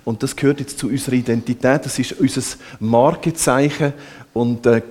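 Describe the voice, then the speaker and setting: Swiss German man, relaxed explainer. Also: medium pitch, normal speed. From Norway and Switzerland. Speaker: Salvation Army officer, guest preacher. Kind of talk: church service interview.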